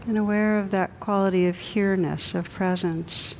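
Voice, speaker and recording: A woman meditation teacher leading a guided meditation in a gentle, soothing, unhurried manner with long pauses. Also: steady tone. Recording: noisy recording with low rumble.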